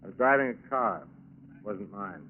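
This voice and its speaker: An actor playing a polite, cold-blooded American fugitive killer in a 1940s radio drama. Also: male voice, on the low side, animated, normal speed, husky. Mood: coolly menacing. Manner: polite, self-possessed, menacing. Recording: thin phone-like 1940s radio recording, music behind.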